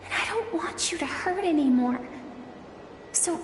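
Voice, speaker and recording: An actress voicing a gentle young woman, the inner self of a warrior, speaking in a soft, earnest and pleading way. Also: very high voice, animated, a little slow, background noise. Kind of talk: game cutscene voice acting. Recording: echoey, reverberant space with loud music.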